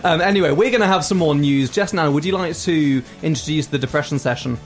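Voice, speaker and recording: Playful man hosting a radio show. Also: fairly high pitch. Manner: playful, teasing, quick to laugh. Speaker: radio host. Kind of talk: casual studio banter. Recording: music playing in the background.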